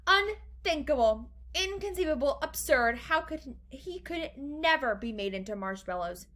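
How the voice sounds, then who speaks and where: A woman voicing an eccentric, excitable storybook gentleman who speaks with theatrical emphasis and breezy reassurance. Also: fairly high pitch, moderate pace. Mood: indignant.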